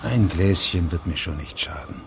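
A proud, uneasy judge in middle age for a radio play. His voice sits very low, and he talks in terse, questioning lines with a dry edge. There is clear atmospheric noise in the recording. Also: moderate pace. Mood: cool, sceptical. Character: stern male judge, middle-aged, proud, sceptical, uneasy. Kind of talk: German radio play acting.

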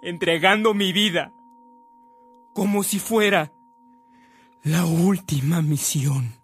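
A young actor playing an earnest, devout, resolute seminarian in a radio drama, solemn in manner. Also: male voice, high-pitched, takes it slowly, a bit bright, husky, exaggerated voice. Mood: resolute, moved.

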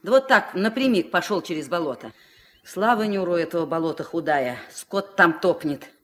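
A woman playing an anxious, soft-hearted old village grandmother who scolds and pleads in folk speech, in a 1970s Soviet radio drama. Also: fairly low pitch, lively intonation, moderate pace, close to an everyday voice. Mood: worried. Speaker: actress in a radio play.

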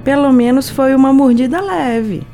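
A woman's voice: high-pitched, moderate pace, mellow, faint music behind. A woman voicing the cheerful, motivating half of a brain in an audio drama, with a breezy, colloquial, reassuring manner. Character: upbeat, motivating, soothing right half of a brain.

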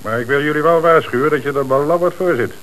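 A man's voice: fairly high pitch, fairly bright tone, natural voice. Stern dutch police boss done by a guy, radio play, dry sarcasm, bit of hiss on the old recording. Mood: stern, probing. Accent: Dutch from the Netherlands. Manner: brisk, sardonic, authoritative. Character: stern, shrewd police chief interrogating suspects. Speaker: actor.

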